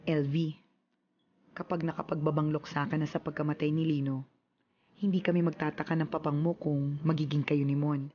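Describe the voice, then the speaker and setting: A woman's voice: low-pitched, flat delivery, a little fast, natural voice. Actress as a counseling mother; earnest, dull lo-fi radio sound. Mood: advising. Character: gentle older mother advising her daughter. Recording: muffled radio drama with faint hiss.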